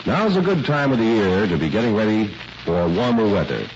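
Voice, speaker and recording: Male announcer, 1940s radio delivery, low and lively, polished and emphatic, on a noisy old recording. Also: brisk, highs cut off.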